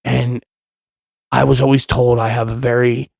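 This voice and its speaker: A man in his thirties talking in a casual, rambling monologue, profane and impassioned, with many restarts and a loud voice. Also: mid-range voice, flat delivery, moderate pace, creaky, no echo, studio quality. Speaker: vlogger, father.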